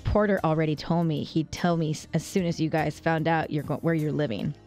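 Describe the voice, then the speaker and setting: A woman's voice: deep voice, steady tone, brisk, a little mellow, faint music behind. An American woman in her thirties co-hosting a radio show with casual banter. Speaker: radio co-host. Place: radio studio with glass wall. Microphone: close studio microphone.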